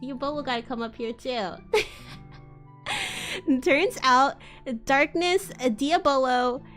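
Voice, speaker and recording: A woman's voice: sits high, slow, a bit bright, faint music behind. A woman hosting a livestream dramatic reading of fan fiction, giggly and sweary, with asides. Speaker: livestream host.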